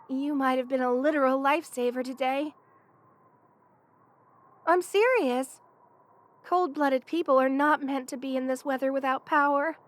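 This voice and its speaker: A woman voicing a playful, affectionate young lamia best friend in a casual, teasing ASMR roleplay. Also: high-pitched. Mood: sincere, chatty. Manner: casual, teasing, conversational with soft chuckles. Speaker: voice actor in audio roleplay. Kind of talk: ASMR roleplay, one-sided friendly chat.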